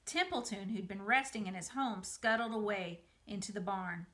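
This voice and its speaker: Middle-aged woman, storybook narration, bright forward voice, expressive delivery. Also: medium pitch, moderate pace. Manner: expressive, dramatized reading. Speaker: museum educator reading aloud. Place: small room by a window, indoors.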